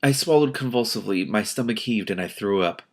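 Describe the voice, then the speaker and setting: A man with a fairly low voice reads a story aloud as the host. He moves between expressive narration and casual, exasperated commentary of his own. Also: a little fast. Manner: expressive, exasperated asides with swearing. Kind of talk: audiobook-like reading with casual reactions.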